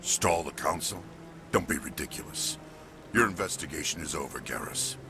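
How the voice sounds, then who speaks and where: An actor playing a stern, dismissive senior officer in a video game, speaking curtly. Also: male voice, extremely low pitch, animated, moderate pace, gravelly, over music, exaggerated voice. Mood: dismissive, annoyed. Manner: curt, dismissive.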